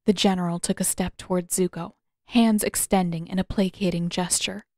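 A woman reading scripted fiction aloud as a narrator, with expressive, dramatic delivery. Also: on the low side, moderate pace, no room sound, clean studio sound. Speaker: audiobook narrator of fan fiction.

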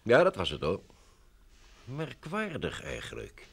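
An actor playing a polite, obliging, assured official in an old Dutch radio drama, with a formal, explanatory manner. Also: male voice, fairly low pitch, wildly swooping pitch, a little slow, gravelly, husky, exaggerated voice. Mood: curious.

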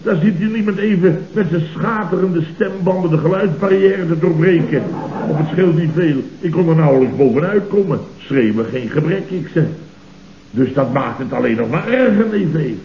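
An actor playing a panicky, self-important boss in a Dutch radio comedy, stammering and repeating words emphatically, with a Netherlands accent. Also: male voice, a little high, normal speed, close to an everyday voice. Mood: indignant. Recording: dull, noisy, roomy old radio recording.

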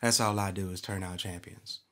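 A man's voice: deep voice, fairly even intonation, a little fast, fairly bright tone. Man playing a folksy, boastful race car driver. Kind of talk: comedic radio interview skit.

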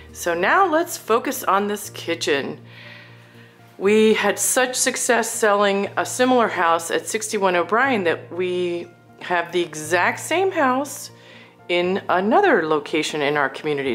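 A woman's voice: medium pitch, a bit bright. A woman giving a casual, admiring and exclamatory walkthrough of a house as a realtor, recorded indoors on a handheld camera. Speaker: realtor showing a home for sale. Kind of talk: casual walkthrough home tour narration. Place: indoors, inside a furnished house.

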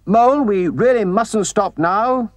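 A man voicing a cheerful, kindly male rat in a storybook, hearty and chummy with a brisk, reassuring way of talking. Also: sits high, no room sound, voice pushed up. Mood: brisk, urging. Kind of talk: storybook character reading.

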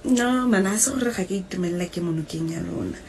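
An actress playing a lively, giggly woman gossiping with her friend in a radio drama. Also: fairly low pitch, rapid-fire, slight background noise, natural voice. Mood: animated. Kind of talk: Setswana radio drama acting.

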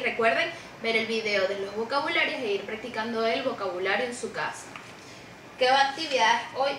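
A woman's voice: on the high side, sharp tone, roomy sound, distant mic, slight background noise, low-quality recording. A young woman teaching preschool children in an online class, speaking patiently and repeating each word in two languages with frequent "ok?" checks. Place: small room indoors, seated beside a monitor.

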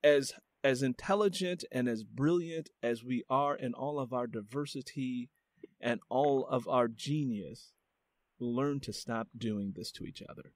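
A man's voice: medium pitch, a little slow, no echo. Reflective male podcast host, dry close sound. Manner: reflective, many "you know" fillers. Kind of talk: casual interview talk.

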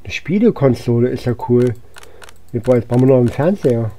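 A man's voice: mid-range voice, lively intonation, fast, mellow. A man giving casual let's play commentary as host, musing aloud to himself as he goes. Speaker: gaming channel host.

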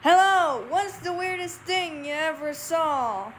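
A female call-in host in a game's radio drama. Her voice is high, polite and a little bored, with faint noise behind it. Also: animated, takes it slowly, fairly bright tone, full character voice. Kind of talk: radio drama acting in a video game.

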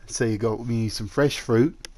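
Male actor as possessive dragon suitor in human form, mid-pitched, gently coaxing, halting, for roleplay audio drama. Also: fairly even intonation, lightly acted. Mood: eager, fond. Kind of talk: roleplay ASMR audio drama, addressing the listener.

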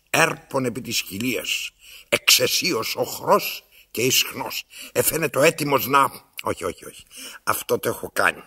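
A domineering old man in an absurdist radio play. His voice is gravelly and forceful, swinging widely in pitch. He declaims pompously, halting and repeating himself like a tyrant used to being heard. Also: exaggerated voice. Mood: brooding. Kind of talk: theatrical radio play acting.

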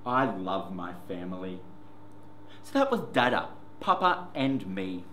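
Bright, expressive man reading to children. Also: low-pitched, a little slow. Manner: expressive, sing-song, addressing small children with simple words. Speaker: children's story time host and teacher. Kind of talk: picture book read-aloud for young children. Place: indoor classroom reading corner. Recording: roomy echo with faint background noise.

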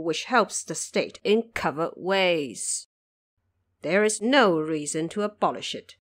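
A woman voicing an indignant male government minister in an audio drama, formal and emphatic. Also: medium pitch.

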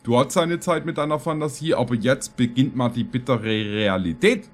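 A man in his thirties hosting a reaction video, commenting casually and jokingly in a halting, deadpan way. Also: medium pitch. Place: small indoor streaming room.

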